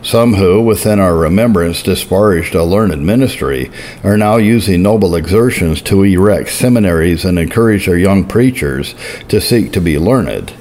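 Solemn man reading aloud, low-voiced. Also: animated, normal speed, a little mellow. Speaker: narrator reading historical religious writing. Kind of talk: measured scripted reading.

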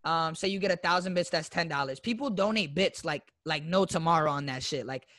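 A man's voice: fairly high pitch, a little fast, bright, forceful tone. A young man from Florida, a guest YouTuber, talking in a loose, slangy way with lots of fillers. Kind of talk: casual interview chat.

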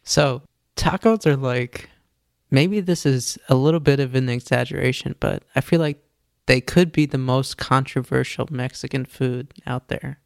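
Easygoing man, gravelly and dry. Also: medium pitch, unhurried, no room sound. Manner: easygoing, filler-heavy with "like". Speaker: podcast co-host. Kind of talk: casual chat. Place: Los Angeles.

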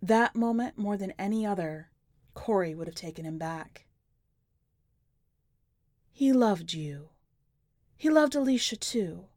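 A woman reads fiction in a scripted, dramatic storytelling style. Her voice sits at a middle pitch, and the recording is dry, with no room around it. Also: animated, unhurried, pauses often, a little mellow, no echo. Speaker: podcast editor reading a short story.

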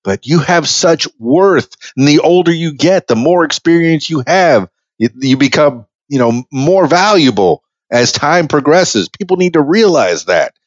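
A man's voice: on the high side, animated, a little slow, few pauses, dry recording. 50s guy hosting a podcast, rambly, laughs a lot, dry muffled sound. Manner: rambling, restarts often, laughs easily. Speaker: podcast host. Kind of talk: casual interview chat.

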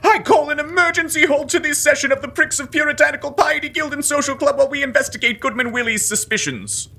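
A woman's voice: sits high, fast talker, pushed and bright. A woman playing a pompous, self-righteous leader of a Puritan witch-hunting club, declaiming archaic English in a comic audio drama. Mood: officious.

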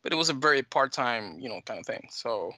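A middle-aged man with a mixed Dominican and American accent, answering interview questions in halting, conversational phrases. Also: medium pitch, big pitch swings, pushed and bright, no room sound. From United States and Dominican Republic. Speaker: talk show guest, flight sim scenery developer.

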